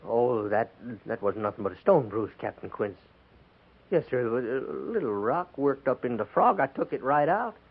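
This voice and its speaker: A man's voice: medium pitch, lively intonation, moderate pace, slightly rough. A man acting a pleading, stammering American cavalry private, devoted to his horse, in a 1950s radio drama. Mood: insistent pleading. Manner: stammering, pleading, folksy ungrammatical speech. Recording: tinny narrow-band 1950s radio recording.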